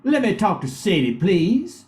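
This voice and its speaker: A man's voice: sits high, big pitch swings, measured pace, darkish tone, reverberant, a little hiss or hum. A man playing a pompous, gloating banker villain in an old-time radio play. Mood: menacing. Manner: pompous, menacing, theatrical.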